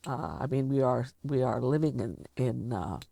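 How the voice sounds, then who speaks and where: An older woman with a Canadian accent, talking reflectively and deliberately as an interviewer in a sit-down conversation. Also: extremely low pitch, expressive, creaky, mellow, breathy edge, no room sound. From Canada, of Latvian descent. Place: furnished indoor lounge studio.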